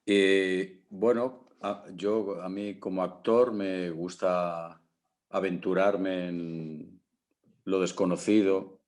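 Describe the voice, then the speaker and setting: A man's voice: low-pitched, steady tone, slow, darkish tone. A man with a Castilian Spanish accent in a casual panel talk, hesitant and searching for words. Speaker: panel guest.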